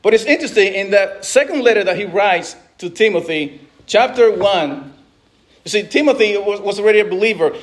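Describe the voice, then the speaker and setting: A middle-aged pastor from the Dominican Republic preaching a sermon in a conversational way, with asides and frequent restarts. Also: male voice, sits high, animated, a little fast.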